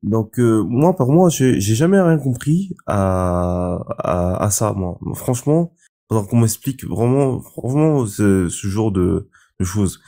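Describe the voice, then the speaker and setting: Male caller, low dark voice, halting, restarts his sentences. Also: expressive. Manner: hesitant, many fillers and restarts. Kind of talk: casual opinion monologue.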